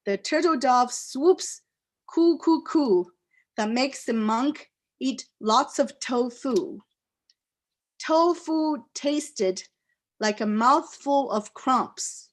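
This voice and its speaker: A poet whose first language is a Chinese dialect, speaking with a Chinese accent and reading her poems aloud in slow, deliberate phrases with pauses. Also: high-pitched, lively intonation. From China. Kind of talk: poetry reading. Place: live reading event.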